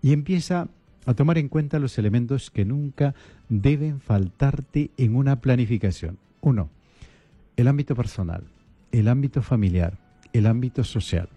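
Brief: male priest, radio talk guest, very warm, dark tone, dry close sound, calm coaching delivery. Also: mid-range voice, normal speed, breathy edge, dry recording, faint music behind. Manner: calm, repetitive listing, coaching tone.

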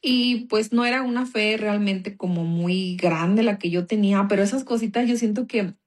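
A woman's voice: mid-range voice, moderate pace. A young Mexican woman with a Mexican accent, telling her personal faith story in a casual, chatty way full of small fillers. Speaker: guest giving a testimony.

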